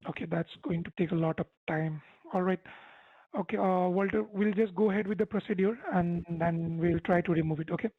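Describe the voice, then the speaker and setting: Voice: medium pitch, steady tone, brisk, slightly rough, no echo, phone-quality sound. Dry-sounding phone support agent. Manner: instructing, repeatedly seeks agreement. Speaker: tech support phone scammer. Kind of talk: scripted-sounding persuasive phone support talk. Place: phone call, music behind.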